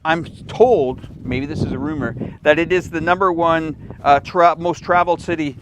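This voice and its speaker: A man's voice: on the high side, fairly even intonation, normal speed. A man hosting a casual live stream, asking questions and commenting in an informal, rambling way. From Canada. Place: outdoors on the Great Wall. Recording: noisy outdoor live stream.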